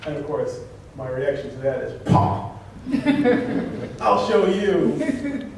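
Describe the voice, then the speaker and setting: A man's voice: a little high, sing-song, slow pace, vocal fry, a little mellow, slight background noise. A man, a poet on a panel, answering audience questions in a thoughtful, searching way with many restarts. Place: conference room. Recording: echoey room, far from mic.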